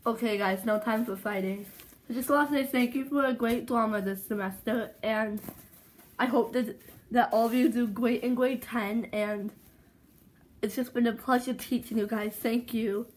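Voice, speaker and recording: A woman's voice: on the high side, fairly even intonation, normal speed, close to an everyday voice, poor audio. A woman voicing a friendly male teacher in a plush toy home video, chatty and rambling, recorded on a phone at home. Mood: cheerful.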